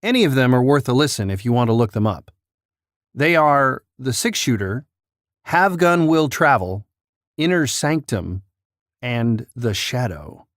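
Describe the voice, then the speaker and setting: A man's voice: medium pitch, animated, a little slow, dry recording. Man narrating, close dry sound. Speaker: host of a countdown list. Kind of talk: scripted narration.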